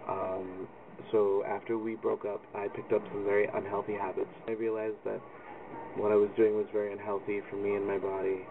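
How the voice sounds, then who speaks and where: A man's voice: lowish voice, gravelly. A young man, talking candidly and rambling with lots of ums in a personal video blog. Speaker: video blogger. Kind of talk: personal vlog monologue. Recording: distant lo-fi phone-like audio with loud background noise.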